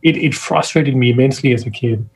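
A man's voice: mid-range voice, quick pace, a little mellow, a little hiss or hum, rough recording. A man from Denmark, a scientist, talking thoughtfully as a guest on a video-call interview, often restarting his sentences. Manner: thoughtful, searching for words, frequent restarts. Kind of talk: casual interview talk.

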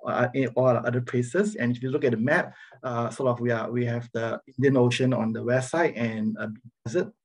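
A middle-aged man from Singapore with a Singaporean accent, giving an informal academic presentation on a video call in a halting manner. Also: mid-range voice, steady tone, slightly rough. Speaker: university professor, guest speaker. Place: online video meeting, speaking over slides. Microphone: video call microphone.

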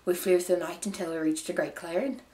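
A woman's voice: lowish voice, steady tone, fast talker, warm tone, natural voice. A woman voicing a dreamy, wry storybook heroine recounting her adventure, in an amateur put-on Irish accent. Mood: wistful storytelling. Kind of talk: dramatic storybook read-aloud. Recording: roomy echo, some background noise.

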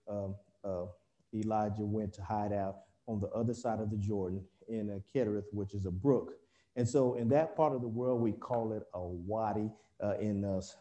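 A man gives a Bible lesson to a remote congregation over a video call, his voice low-pitched and very dark and mellow. He talks in a halting way, full of fillers, restarts and corrections as he works through the passage. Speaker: preacher.

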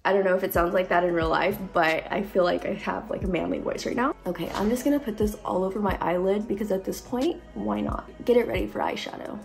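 Young woman beauty vlogger, cold-deepened voice, chatty, phone mic at home, faint music under. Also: fast talker, vocal fry, few pauses. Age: young adult. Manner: chatty, lots of likes and ums. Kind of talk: casual makeup tutorial. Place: bright room at home. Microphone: phone camera at close range.

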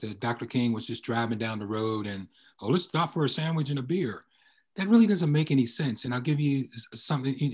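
An older man on a video call, explaining as a panelist in a measured, deliberate and emphatic way. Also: medium pitch. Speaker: panelist, history researcher. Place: indoor room on a video call.

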